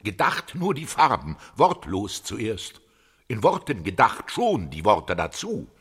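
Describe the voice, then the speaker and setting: A dreamy male figure recites slowly in a husky, low voice. The pitch swings widely through poetic fragments, ringing in a long reverb like a hall. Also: huge pitch swings, fairly bright tone, heavily acted. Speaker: radio play actor. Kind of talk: poetic recitation in a radio drama.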